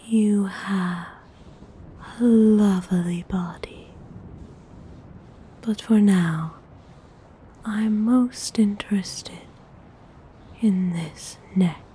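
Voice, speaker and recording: A woman playing a gentle, seductive vampire noble in a whispered ASMR roleplay, breathy, soothing and intimate. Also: mid-range voice, a little hiss or hum. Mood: soft, intrigued. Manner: slow, soothing, intimate, unhurried.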